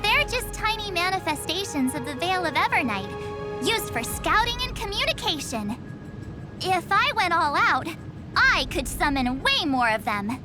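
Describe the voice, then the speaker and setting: A woman's voice: very high voice, sing-song, moderate pace. A woman voicing a theatrical, girlish young woman, a playful goddess figure in a video game. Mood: cheerful, casual. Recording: loud music under the voice.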